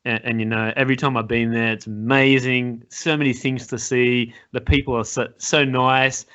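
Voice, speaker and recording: An Australian man in his thirties hosts a casual interview at a mid-range pitch. He speaks agreeably and feels his way through his phrases, close to a desk mic. The audio is slightly lo-fi. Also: level tone, normal speed, fairly bright tone, clear, resonant tone. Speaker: podcast host, interviewer. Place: home room with wooden cabinet. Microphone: large desktop microphone up close. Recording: slightly dull call audio.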